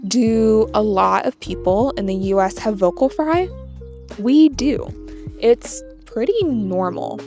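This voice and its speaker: Young American woman hosting, light vocal fry, unhurried delivery, music underneath. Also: mid-range voice, a little mellow. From United States. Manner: measured, explanatory. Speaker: channel host. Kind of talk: scripted talk to camera.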